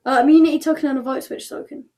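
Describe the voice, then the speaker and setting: A woman's voice: high voice, fairly even intonation, very fast, dark tone. A woman casually announcing results as the host of an online show, chatty and thinking aloud as she goes.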